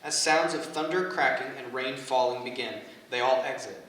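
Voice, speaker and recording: A man's voice: medium pitch, normal speed, fairly bright tone. A man reading stage directions as scripted narration at a staged play reading. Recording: echoey room, far from mic, faint noise.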